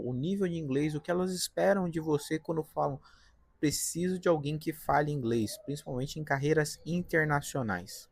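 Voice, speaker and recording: A man's voice: fairly high pitch, no room sound. A Brazilian man with a Paulista accent from the interior of São Paulo, giving casual, direct career advice in a vlog from his apartment balcony, often tagging his sentences with "né?" and "tá?". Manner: conversational, direct, frequent "né?" and "tá?" tags. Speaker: career vlogger, designer.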